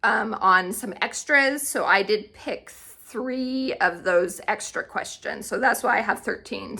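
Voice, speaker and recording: A woman with a bright, forward voice speaks at an unhurried pace. She chats casually to her viewers like a book vlogger, with open enthusiasm in how she talks. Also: mid-range voice, gravelly, slightly rough. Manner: chatty, enthusiastic. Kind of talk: casual chatty book review to camera.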